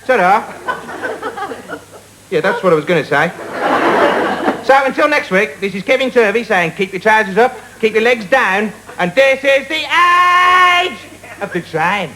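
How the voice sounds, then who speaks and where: A man playing a dim, self-important young bloke who rambles matily and haltingly through a comic monologue. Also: high voice, expressive. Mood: matey, aimless. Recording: noisy live recording with loud crowd.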